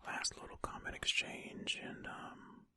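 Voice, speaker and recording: An ASMR host speaking in a breathy, unvoiced whisper, reading aloud and commenting in a gentle, chatty, informal way. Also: unhurried, no room sound.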